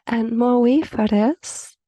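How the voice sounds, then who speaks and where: A woman from Africa, an Afrikaans speaker, hosting an online community call and introducing guests in a halting way that often drops into a soft whisper. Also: a little high, no room sound. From South Africa. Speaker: host and facilitator.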